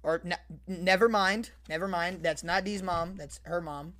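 A young man reading aloud casually on a livestream, swearing, slangy and repeating his phrases in an animated way. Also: sits high, normal speed. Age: young adult. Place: small bedroom, indoors. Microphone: close desk microphone with headset.

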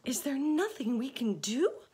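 A woman voicing a sensible, motherly female ladybug with a gentle, coaxing way. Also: high-pitched, sing-song, unhurried, pitched above the performer's own voice. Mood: anxious.

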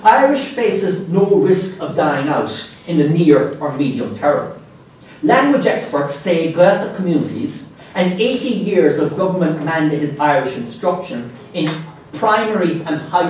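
A man in his thirties lectures from a podium in a large hall, his fairly high voice animated as he reads quotations and drops dry asides. The room rings with heavy reverb, and he sounds a little distant from the lapel mic. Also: slight background noise. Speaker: keynote speaker. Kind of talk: conference keynote lecture.

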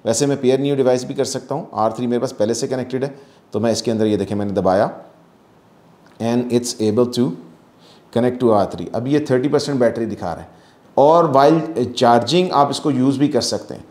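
A man's voice: medium pitch, darkish tone. Echoey Pakistani man demonstrating gadgets. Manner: explanatory, mixes in English phrases. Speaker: product reviewer. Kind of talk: casual hands-on gadget demonstration. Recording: echoey room.

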